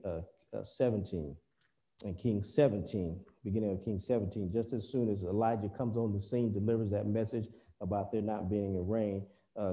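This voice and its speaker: A man preaching a Bible lesson over a video call, halting often with fillers and restarts. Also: lowish voice, warm tone.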